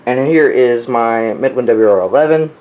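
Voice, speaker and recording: A man's voice: medium pitch, moderate pace, darkish tone. A young man giving a casual, unscripted show-and-tell in an offhand, enthusiastic hobbyist way. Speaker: weather radio collector showing their collection. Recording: clearly noisy home recording.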